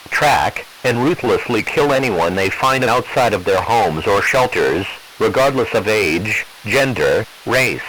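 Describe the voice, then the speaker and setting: A man reads a scripted emergency broadcast as an official announcer. His voice is fairly low and impersonal, with a faint hiss like radio behind it. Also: fairly bright tone, poor audio. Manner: measured, impersonal official delivery.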